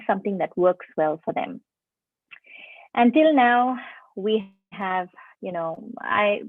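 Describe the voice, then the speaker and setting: A woman's voice: fairly low pitch, no echo. A middle-aged woman from India with a mixed Indian and Indonesian accent, giving a friendly online presentation to teachers and often checking in with her listeners. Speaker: preschool teacher trainer. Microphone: video call. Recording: dry, phone-like call audio.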